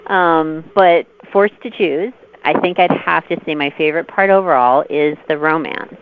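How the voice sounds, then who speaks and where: A woman author answering interview questions in a measured, earnest, articulate way. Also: sits low. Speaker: novelist guest. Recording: phone-like line with clear noise.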